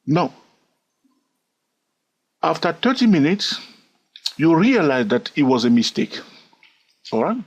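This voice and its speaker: A man's voice: on the high side, slow pace, pauses often, breathy edge. A man teaching a swing trading lesson over a screen recording, repeating his points and often checking in with "all right?". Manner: animated, repetitive, keeps asking "all right?". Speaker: trading instructor.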